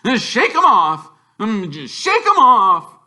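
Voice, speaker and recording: Man acting a loud, high-strung, bossy uncle. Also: huge pitch swings, heavily acted. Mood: frantic. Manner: loud, forceful, drawn-out barking orders. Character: blustering, frantic, gleeful middle-aged bossy uncle.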